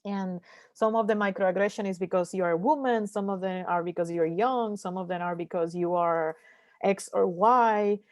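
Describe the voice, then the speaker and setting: A woman from Puerto Rico whose first language is Spanish, speaking earnestly as a panelist in an online discussion, often pausing with fillers and restarting sentences. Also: mid-range voice, dry recording, studio quality. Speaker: panelist, scientist.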